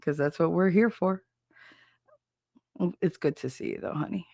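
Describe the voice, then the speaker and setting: Stuffy, gravelly New host. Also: medium pitch, moderate pace, darkish tone, no room sound, studio quality. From New Jersey. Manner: rambling, chatty, self-deprecating, greets chat viewers. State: stuffy, nasal from a cold. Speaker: livestream host. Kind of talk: casual live-stream chat.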